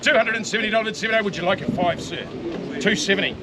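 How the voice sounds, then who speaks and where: A man with a New Zealand accent working as a livestock auctioneer, rattling off bids in a rapid, repetitive chant and coaxing buyers at an outdoor saleyard. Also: high voice. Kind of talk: auction bid calling. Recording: loud crowd and livestock noise at an outdoor sale.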